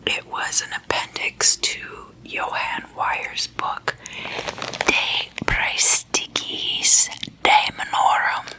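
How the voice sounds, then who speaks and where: Slow whispering ASMR woman. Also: rough recording. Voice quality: soft breathy unvoiced whisper. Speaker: ASMR host. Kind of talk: whispered ASMR, chatty explainer with sleepover roleplay.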